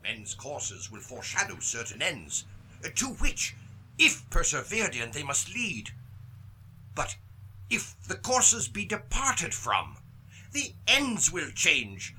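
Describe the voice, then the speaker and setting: A man playing a frightened old miser in a radio play, pleading theatrically in a husky, strained voice. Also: a little high, huge pitch swings, sharp tone, a little hiss or hum, full character voice, low-quality recording. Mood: fearful pleading. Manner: dramatic, emphatic, slow and imploring.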